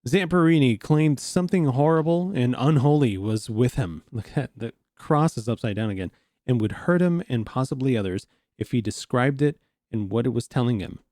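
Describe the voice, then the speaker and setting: A man's voice: mid-range voice, expressive, moderate pace, mellow, dry recording, studio quality. A man hosting a reaction video, reading text aloud and adding informal, incredulous asides. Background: music.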